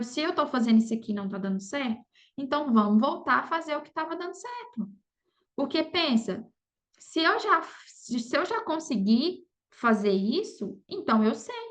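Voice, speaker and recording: A Brazilian woman talking casually as an interview guest, often adding little check-in tags to her sentences. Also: on the high side. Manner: conversational, frequent "sabe" and "entendeu" tags. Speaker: podcast guest, affiliate marketer.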